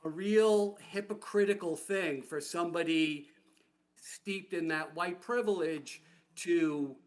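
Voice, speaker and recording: A man with a somewhat high-pitched voice offers an unscripted comment in a discussion. He sounds earnest, rambling along in halting phrases. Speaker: audience participant, public health system worker.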